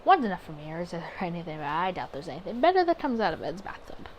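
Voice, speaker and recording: A woman's voice: fairly low pitch, big pitch swings, fast talker, a little hiss or hum. A woman doing a casual game playthrough, chatting expressively as she reads story text aloud and reasons through her reactions. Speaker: gaming video creator.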